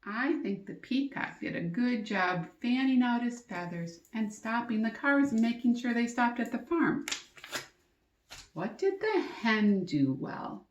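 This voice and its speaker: A woman teacher reading a storybook aloud to young children, expressively, pausing to ask questions and explain things simply. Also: a little high, very animated, dull audio. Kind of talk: interactive storybook read-aloud and lesson. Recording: echoey, roomy sound.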